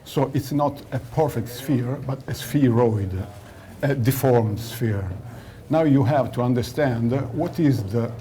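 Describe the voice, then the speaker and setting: A man's voice: medium pitch, unhurried, warm tone. A man giving a technical explanation in an interview, didactic and often searching for words, at a noisy trade show. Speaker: loudspeaker designer being interviewed. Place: audio trade show in Milan.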